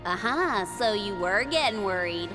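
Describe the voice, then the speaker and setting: An actress playing a spirited, teasing young adventurer in a video game, with a bubbly manner. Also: female voice, mid-range voice, expressive, a little slow, over music, lightly acted.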